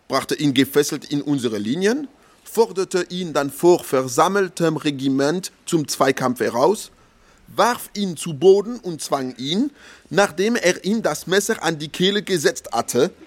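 An actor playing an intellectual man narrating memories of his boyhood, with measured, articulate literary delivery and ironic emphasis. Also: fairly high pitch.

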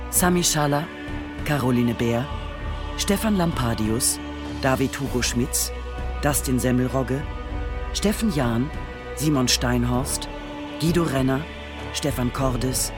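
A man announces the end of an audio drama podcast. His voice sits at a middle pitch as he delivers scripted credits and sign-off. Music plays clearly behind him. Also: slow pace, pauses often.

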